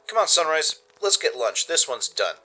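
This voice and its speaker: A man voicing a loving pony stallion father, a mechanic, gentle and reassuring, in a radio play. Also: very animated, moderate pace, full character voice. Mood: easygoing. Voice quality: deep, gentle. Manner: soft, reassuring, affectionate.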